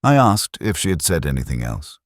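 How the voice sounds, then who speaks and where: A man playing a reflective, melancholy middle-aged detective, narrating his case in measured, solemn literary prose. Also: a bass voice, sing-song, dry recording, studio quality, full character voice. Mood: brooding, reflective. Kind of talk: literary first-person scripted narration.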